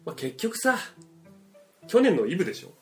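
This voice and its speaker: A man's voice: high voice, sing-song, normal speed. A man giving casual comic advice on a radio show, emphatic and mock-earnest, acting out imagined lines as he goes. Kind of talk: casual comedic advice chat. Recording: music playing behind the voice. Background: background music.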